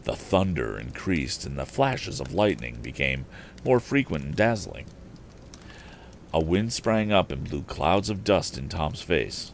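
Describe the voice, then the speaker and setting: Male storyteller, deep register, animated narration of a vintage novel, slight stumbles, faint noise behind. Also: a little slow, vocal fry. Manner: expressive, with occasional stumbles and restarts. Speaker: storyteller on a live stream. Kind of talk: animated audiobook-style reading of an old adventure novel.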